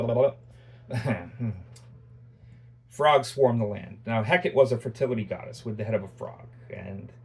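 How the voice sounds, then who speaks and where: A man delivering a Bible lecture in a husky, slightly breathy voice at a middle pitch. He talks conversationally, often restarting sentences and tossing in joking asides about himself. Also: darkish tone. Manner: conversational, self-deprecating asides, frequent restarts and fillers. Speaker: Bible teacher.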